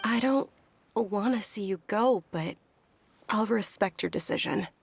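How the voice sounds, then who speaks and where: A woman playing a gentle, polite young noblewoman in an English anime game dub, with a courteous and slightly hesitant way of talking. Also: medium pitch, measured pace, creaky, slight background noise. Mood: reluctant.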